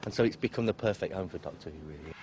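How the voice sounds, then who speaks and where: A man's voice: low voice, racing, over loud music. A man speaking enthusiastically in an interview outdoors at an industrial location. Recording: loud background noise.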